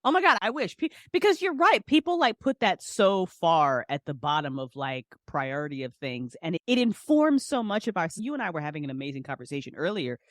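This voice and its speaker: A woman's voice: lowish voice, sing-song, brisk, hardly any pauses, no echo, studio quality. A woman speaking as a podcast guest in casual, passionate interview talk, emphatic and repeating phrases for stress. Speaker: podcast guest, audio drama writer and director.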